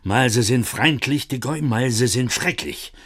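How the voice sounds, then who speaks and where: A husky, wary father. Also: medium pitch, a little slow, a bit bright. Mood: wary, warning. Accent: Yiddish. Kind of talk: radio drama acting.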